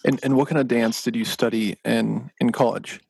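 A man's voice: mid-range voice, steady tone, normal speed, vocal fry, a little mellow, breathy edge, dry recording, poor audio. A man in his late twenties hosting a podcast, asking questions and adding brief affirming interjections. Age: 20s. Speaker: podcast host. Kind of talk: casual conversational interview.

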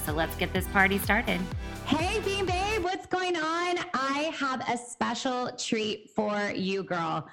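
A woman's voice: mid-range voice, fairly bright tone. Bubbly woman podcast host over faint music. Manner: bubbly and gushing. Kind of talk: upbeat podcast chat.

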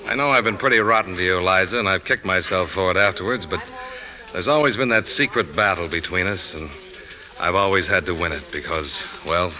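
A man's voice: deep voice, fairly quick, bright, forceful tone, poor audio. An actor playing a cocky, plain-spoken magazine man in a 1950s radio drama. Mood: gruff, candid. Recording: vintage 1950s radio broadcast.

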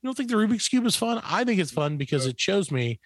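Man, middle-aged, lively swooping pitch, dry close sound, joking show host, rambling, mock-boastful. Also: fast, no echo. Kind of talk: comedic casual banter.

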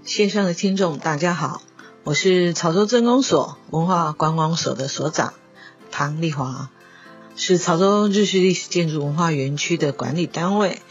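A woman's voice: fairly low pitch, normal speed, dull audio, faint music behind. A woman with a Taiwanese Mandarin accent giving a formal prepared announcement as an office director. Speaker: local culture and tourism office director.

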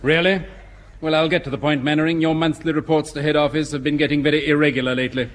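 An English actor playing a brisk, disapproving bank inspector in a vintage radio comedy, officious and scornful. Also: male voice, a little high, flat delivery, close to an everyday voice.

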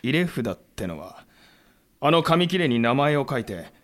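A man's voice: mid-range voice, sing-song, moderate pace, natural voice. Fiery, swooping samurai commander played by a man. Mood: blunt indignation. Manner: rough, forceful speech, bellowed battle orders. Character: fiery, blunt samurai commander, hot-tempered and loyal. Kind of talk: historical audio drama acting.

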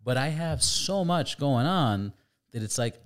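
A man's voice: medium pitch, expressive, measured pace. A man hosting a podcast, chatting casually and telling stories with loose, swearing animation. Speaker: podcast host. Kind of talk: casual chat.

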